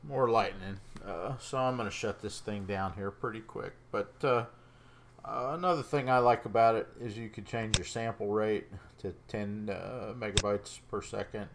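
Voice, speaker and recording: A man's voice: fairly low pitch, fairly even intonation, moderate pace, very noisy. A man giving a casual, unscripted demonstration as a radio hobbyist.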